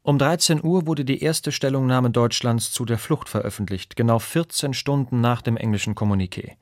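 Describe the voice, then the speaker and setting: A man reads a scripted news commentary with formal, declarative phrasing. The pitch is average for a man, and the recording is dry and close. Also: normal speed, dry recording. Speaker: reader of historical radio texts.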